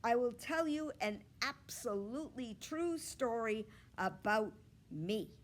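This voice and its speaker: A woman's voice: fairly high pitch, expressive, slow pace, pitched above the performer's own voice. A woman voicing a confident, theatrical little schoolgirl who loves attention, in a storybook reading, with a self-assured, showy manner.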